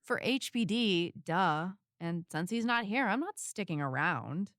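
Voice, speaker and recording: A woman's voice: fairly low pitch, expressive, measured pace, a bit bright, no echo, studio quality. An actress playing a fed-up, brusque and sarcastic female sidekick in an audio drama. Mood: irritated, sarcastic. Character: blunt, sarcastic, fed-up cyborg-armed sidekick woman. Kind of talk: scripted audio drama acting.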